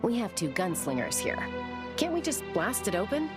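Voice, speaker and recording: A woman's voice: medium pitch, very animated, moderate pace, close to an everyday voice. A woman playing a confident, protective young female warrior in a video game, self-assured and wry. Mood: brash. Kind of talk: video game character acting. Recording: studio voice over loud game music.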